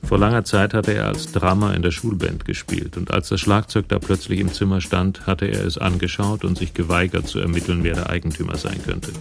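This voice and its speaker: A man narrating a German radio crime drama from a script in a measured, wry, descriptive way. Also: low-pitched, flat delivery, vocal fry, music in the background.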